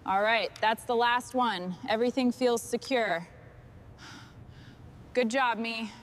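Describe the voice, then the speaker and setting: Higher-voiced woman over workshop noise. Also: slow. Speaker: mechanic narrating restoration work. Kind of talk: short hands-on work commentary.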